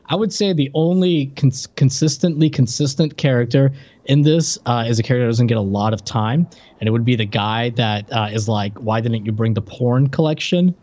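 A man's voice: mid-range voice, a little mellow. A man co-hosting a podcast in casual critical chat, pushing his point and sometimes stammering, on a lo-fi recording. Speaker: podcast co-host. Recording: dull, lo-fi sound.

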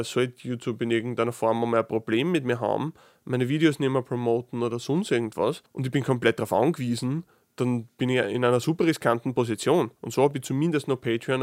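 A man's voice: medium pitch, dry recording. A man speaking in Austrian dialect, hosting a casual solo podcast, thinking aloud with frequent restarts and dry, sarcastic asides. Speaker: podcast host.

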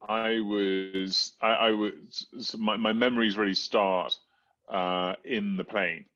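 Deep-voiced man, halting, dull muffled audio. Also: steady tone, fairly bright tone, no echo. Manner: halting, stammers and repeats words. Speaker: interview guest. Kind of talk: personal storytelling in conversation.

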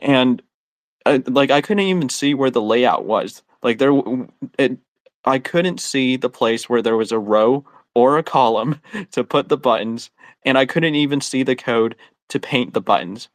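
A man's voice: medium pitch, unhurried, dry recording. A man co-hosting a casual tech chat, talking hesitantly with many restarts. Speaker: panel co-host.

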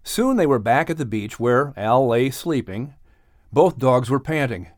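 A man narrating a scripted children's story as an audiobook reader, with expressive delivery. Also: mid-range voice, unhurried, no room sound, clean studio sound.

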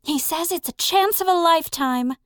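A man voicing a gentle young actress in a soft, breathy falsetto. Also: normal speed, dry recording, studio quality.

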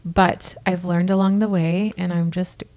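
A podcast guest from California speaks in a slightly low voice with sincere, unhurried warmth. Her talk is casual and personal, loosely strung together with "like" and "you know.". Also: level tone. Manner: earnest, frequent "like" and "you know" fillers.

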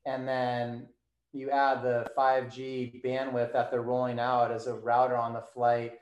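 A man's voice: medium pitch, monotone, unhurried, roomy sound, highs cut off. A man being interviewed as a guest, explaining his theories step by step in casual talk. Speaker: guest, performance coach.

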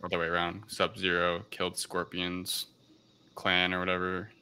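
A man's voice: deep voice, completely flat delivery, moderate pace, pushed and bright, slight background noise. A man bantering casually as a podcast co-host.

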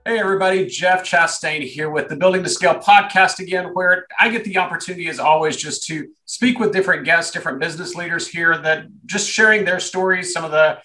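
A man's voice: a little high, brisk, hardly any pauses, sharp tone, rough recording. A man hosting a business podcast, speaking in a loose, rambling way with repeated words. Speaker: podcast host. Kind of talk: podcast interview.

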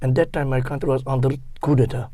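A man in his fifties from Turkey, speaking English with a Turkish accent, talking casually in an interview in halting, broken phrases. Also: mid-range voice, steady tone, mellow, no room sound. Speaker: guest, security guard.

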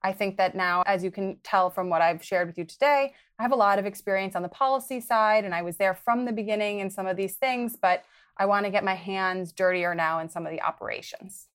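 Woman, young adult, from Wisconsin, podcast guest, earnest, conversational, mid-pitched. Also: brisk, clean tone. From Wisconsin, United States. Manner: earnest, advice-giving, occasional laughs. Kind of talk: conversational interview.

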